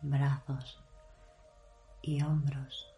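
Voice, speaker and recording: Very low-pitched, slow woman guiding meditation. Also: level tone, soft background music. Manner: hushed, measured delivery with long pauses. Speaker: meditation guide. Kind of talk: guided meditation, slow soothing narration.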